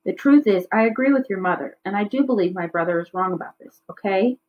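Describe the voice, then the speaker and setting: A woman's voice: medium pitch, lively intonation, fast, natural voice. A woman voicing a tired, mild, conciliatory father in a storybook. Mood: apologetic. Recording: slightly dull, lo-fi recording.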